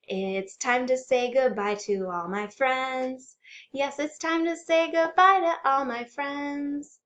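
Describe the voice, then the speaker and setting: A woman's voice: sits high, fairly even intonation, slow, a bit bright. A woman working as a children's librarian, reading aloud expressively with dramatic, suspenseful delivery. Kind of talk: animated children's story read-aloud.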